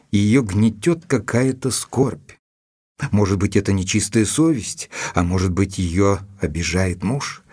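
An actor playing an observant, loyal gentleman who reports a mystery in a measured, formal, deliberate way, as in an audio drama. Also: lowish voice. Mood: measured, reflective. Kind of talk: scripted audio drama narration, reading out a letter. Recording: studio recording with music underneath.

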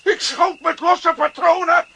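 An actor playing a menacing, mocking poacher in a children's radio play. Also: male voice, very high-pitched, level tone, a little slow, background noise. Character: gruff scheming male poacher villain.

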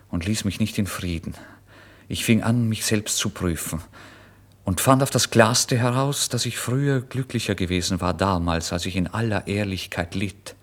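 Ein Schauspieler spielt einen mittellosen, fiebrig aufgewühlten jungen Mann, der seine Geschichte in einem deutschen Hörspiel eindringlich als Ich-Erzähler vorträgt. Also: male voice, low voice. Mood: anxious. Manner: intense, brooding, swinging between whispers and loud outbursts. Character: destitute, feverish young man confessing his story, tormented, exalted. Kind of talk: dramatic first-person literary narration in a German radio play.

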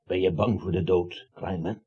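An actor playing a placating, slightly slow-witted vigilante in a comic radio play, soothing and puzzled, with a husky voice. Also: male voice, deep voice, steady tone, warm tone. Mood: soothing, pitying. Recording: dull lo-fi radio recording.

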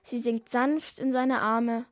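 High-voiced, slow ballad storyteller. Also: female voice, flat delivery, slight background noise. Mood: tender, lyrical. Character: tender female storyteller reciting a robber ballad. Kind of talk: slow verse recitation.

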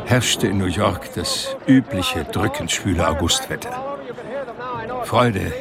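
A man's voice: low-pitched, slow pace, vocal fry, over loud music, exaggerated voice. An actor playing a blind, sensitive, melancholic man who recalls his life in reflective, literary audio drama narration. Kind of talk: scripted first-person audio drama narration.

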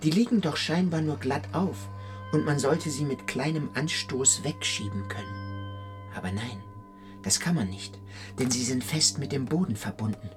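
A man's voice: mid-range voice, moderate pace, over music, close to an everyday voice. An actor playing a tall, idle, teasing man who muses theatrically in a German literary radio drama. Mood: musing. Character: tall, idle, teasing man philosophizing while lying sprawled.

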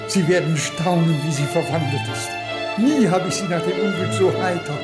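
A man playing a frail, wealthy old father in a German radio drama, imploring and emotional, with halting speech. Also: a little high, over loud music, close to an everyday voice. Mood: moved, grateful. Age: older. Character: frail, pleading old wealthy father, desperate for his child.

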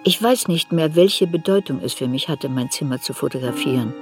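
An actress playing a reflective woman looking back on a troubled youth, narrating a radio drama in a measured, introspective way. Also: deep voice, close to an everyday voice. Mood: pensive. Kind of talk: literary radio drama narration, first-person memoir. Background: music.

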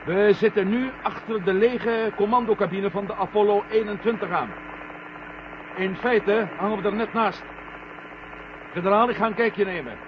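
A man acting a headstrong astronaut in a vintage radio play. His voice sits high for a male, and he talks in a commanding, impatient way. The old recording is dull, with clear noise over it. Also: moderate pace, lightly acted. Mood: businesslike. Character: headstrong, curious male astronaut on a moon mission. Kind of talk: 1970 Dutch radio drama acting.

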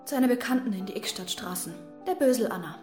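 A woman's voice: mid-range voice, lively intonation, fast talker, a little mellow, echoey room, soft background music, close to an everyday voice. An actress playing a dreamy, ambitious young country girl in a German radio drama. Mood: determined, dreamy.

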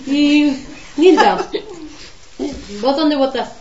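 Una mujer con acento argentino interpreta a una vecina curiosa y desenfadada en un radioteatro comunitario. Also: female voice, high voice, drawn-out words, a little mellow. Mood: curious. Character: inquisitive, easygoing neighbour woman. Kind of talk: amateur community radio play, casual chat. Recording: muffled, roomy, with chattering voices behind.

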